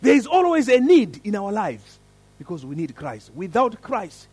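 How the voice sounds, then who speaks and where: Husky, lively man preaching a sermon. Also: high voice, normal speed, dry recording. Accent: African. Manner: earnest, lively storytelling with rising emphasis. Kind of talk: sermon with prayer and story. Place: church service.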